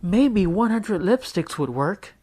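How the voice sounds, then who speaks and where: A man's voice: sits high, lively intonation, takes it slowly, darkish tone, voice pushed up, exaggerated voice. A man doing a matter-of-fact big sister. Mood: helpful.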